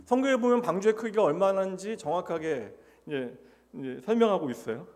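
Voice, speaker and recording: A man's voice: high-pitched, lively intonation, brisk, darkish tone. A Korean man in his forties preaching a sermon to his congregation in a church, speaking conversationally and putting rhetorical questions to the listeners. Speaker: pastor. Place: church sanctuary. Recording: long church reverb.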